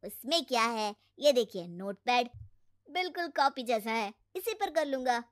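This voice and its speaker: A woman's voice: a little high, animated, a little slow, dry recording, studio quality. A woman voicing a cocky, know-it-all twenty-year-old young man in a moral story cartoon. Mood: smug, breezy. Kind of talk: animated moral story character acting.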